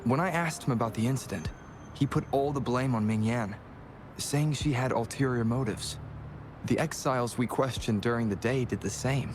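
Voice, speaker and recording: An actor voicing a composed male investigator in a video game, calmly recapping the case. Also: mid-range voice, moderate pace, natural voice. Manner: calm, explanatory recap.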